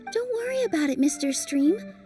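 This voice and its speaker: Game heroine, young dancer, warm-hearted and encouraging, high bright voice. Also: female voice, big pitch swings, normal speed, over music. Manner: gentle, encouraging.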